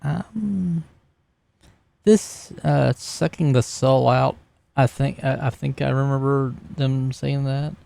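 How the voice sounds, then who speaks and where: A man playing a tabletop roleplaying game, talking casually and describing his character's actions in a halting way. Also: mid-range voice, fairly even intonation, gravelly, warm tone.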